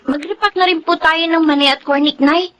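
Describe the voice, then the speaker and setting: A young Filipino daughter with a high voice. She sounds like a helpful girl in a radio drama. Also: fairly even intonation, brisk, a bit bright, slight background noise. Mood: eager, helpful. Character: helpful young daughter helping her mother sell snacks.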